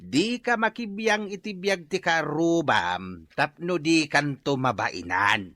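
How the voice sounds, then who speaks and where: A man's voice: a little high, lively intonation, slow, sharp tone, no echo, heavily acted. A man playing a disapproving neighbour who puts up with village gossip in an Ilocano radio drama. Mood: admonishing.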